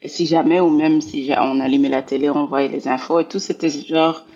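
Belgian woman, 40s, interview guest; husky, gravelly voice, thoughtful and searching, slightly muffled audio. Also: low voice, fairly even intonation, fairly quick, a little mellow. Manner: thoughtful, repeats words while searching for phrasing. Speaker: guest, scholar of international relations. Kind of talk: reflective interview talk.

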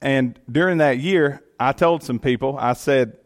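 A man's voice: mid-range voice, unhurried. A middle-aged man with a Georgia accent, a county commission chairman, giving a personal testimony in an earnest, folksy way. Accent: Southern US, Georgia.

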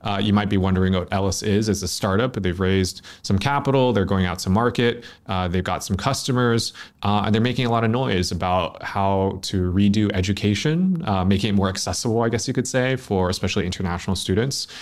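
A man's voice: lowish voice, fairly quick. A man hosting an interview in a studio, talking in an easygoing way with frequent filler words as he frames his questions. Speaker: podcast host.

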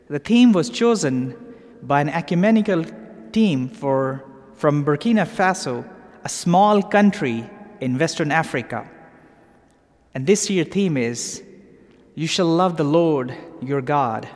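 Male preacher, Pakistani accent, deliberate and unhurried delivery, long cathedral reverb. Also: fairly high pitch, animated, darkish tone. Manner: measured, deliberate phrasing in short groups. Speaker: preacher, clergyman. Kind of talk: sermon, evensong meditation. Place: large cathedral, speaking to a congregation.

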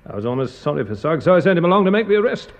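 An actor playing a decent, matter-of-fact police inspector in a radio drama, informal and businesslike, with an English accent. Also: male voice, fairly high pitch, very animated, very fast.

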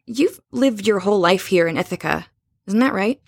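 A woman's voice: mid-range voice, animated, unhurried, vocal fry, no echo, clean studio sound. An actress playing a young woman in an audio drama, earnest and uneasy as she speaks. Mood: probing. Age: young adult.

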